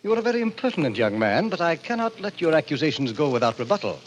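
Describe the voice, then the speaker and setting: An urbane, condescending gentleman villain in an old radio thriller. His formal speech swoops extremely widely in pitch, with faint noise on the vintage recording. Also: very animated, fast talker, highs cut off. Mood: haughty, intrigued. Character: suave, sinister gentleman president of a deadly club. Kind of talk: 1940s radio mystery acting.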